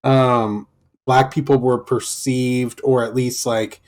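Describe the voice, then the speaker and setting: A man's voice: mid-range voice, flat delivery, slow pace, darkish tone. A man hosting a podcast, asking his guest questions in a casual interview. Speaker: podcast host.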